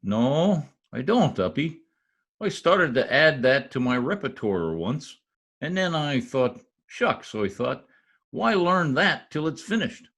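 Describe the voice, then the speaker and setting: Radio comedy husband, played by a man: cheerful folksy braggart, husky, swooping delivery. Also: a little high, very animated, slow pace, a bit bright, exaggerated voice. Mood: wisecracking. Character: boastful wisecracking husband, cheerful blowhard. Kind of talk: old-time radio comedy acting. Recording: home video-call recording.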